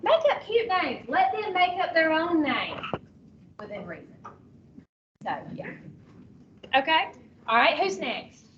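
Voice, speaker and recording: A woman with a loud, fairly high voice instructs a group like a teacher running a workshop. She is conversational but directive, repeating short phrases to press a point. The recording is dull, a bit noisy and picked up from a distance. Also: sing-song, takes it slowly, long pauses. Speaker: teacher leading a co-teaching training session. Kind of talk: informal classroom instruction.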